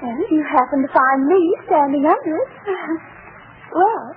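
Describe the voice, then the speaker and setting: A young sweetheart pleads and insists in an old radio comedy. Her delivery is whiny and very animated. The recording is tinny with clear background noise. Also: high voice, unhurried. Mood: coaxing, exasperated. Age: young adult. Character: eager, pleading, lovestruck young girlfriend. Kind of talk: 1940s radio comedy acting. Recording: tinny, noisy 1940s radio broadcast.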